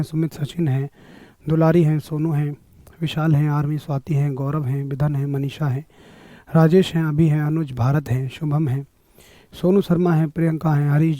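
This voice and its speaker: A hoarse man leads a live exam-prep class, clearing his throat often. His voice sits fairly high for a man but sounds mellow and dark. The sound is dry and close, with no room echo. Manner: greets viewers by name, upbeat coaching. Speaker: online exam-prep teacher. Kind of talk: live-streamed physics class.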